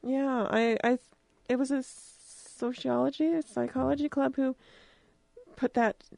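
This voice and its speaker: A woman's voice: sits high, steady tone, a little slow, gravelly, a little mellow, no room sound. An American woman hosting an informal radio interview, speaking in a plain, conversational way. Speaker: campus radio host, interviewer. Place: radio studio.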